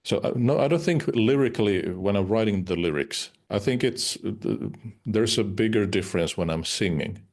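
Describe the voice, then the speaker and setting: A man's voice: fairly low pitch, lively intonation, normal speed, a little mellow. A man whose first language is Finnish, speaking English in a casual interview, hesitant, with many uhs and restarts. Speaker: interviewed musician.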